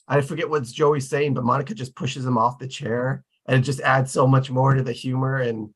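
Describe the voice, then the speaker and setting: A man with a middle-pitched voice chats in a relaxed group discussion. He sounds informal and agreeable, feeling his way through sentences in small halting pieces. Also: breathy edge. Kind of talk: casual discussion.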